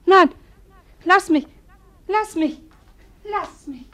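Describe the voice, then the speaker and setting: An actress playing a melancholy, dreamy young princess in a classic radio play, hushed and poetic. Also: female voice, extremely high pitch, drawn-out words, heavily acted. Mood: frightened. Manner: hushed, poetic, often half-whispered.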